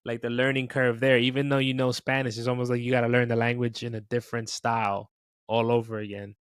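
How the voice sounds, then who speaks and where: A man's voice: medium pitch, flat delivery, quick pace, clean tone, dry recording, clean studio sound. A man in his thirties from New York City, with a Dominican-tinged New York accent, chatting casually as a podcast guest and often saying "bro". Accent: New York, Dominican-influenced. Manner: laid-back, peppers speech with "bro". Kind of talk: casual chat.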